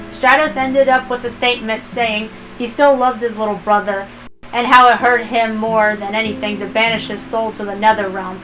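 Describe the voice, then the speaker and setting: A woman's voice: fairly high pitch, bright, forceful tone, poor audio. A woman reading a story aloud as an amateur narrator, in a dramatic storytelling style. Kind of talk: dramatic fan fiction narration.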